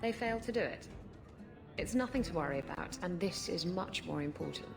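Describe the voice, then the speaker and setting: A brash female duelist from a fantasy game, with a lowish voice. She is flippant, sly and sure of herself, with music behind her. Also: lively intonation, brisk, vocal fry. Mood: sly, confident. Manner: sly, flippant, self-assured. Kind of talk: video game character dialogue.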